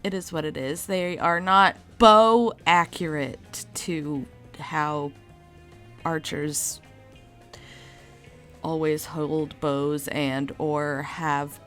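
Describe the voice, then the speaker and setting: A woman's voice: sits low, fairly even intonation, drawn-out words, fairly bright tone. Stuffy-nosed gal rambling about her drawings, lots of likes, faint music behind. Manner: chatty and rambling, many likes and self-corrections. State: congested from a cold. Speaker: artist and channel host. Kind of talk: casual voice-over for a speed draw.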